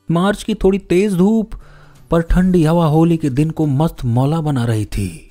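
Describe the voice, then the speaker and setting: Guy narrating a story all dramatic n measured, dark soft tone, music underneath. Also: a little high. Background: background music.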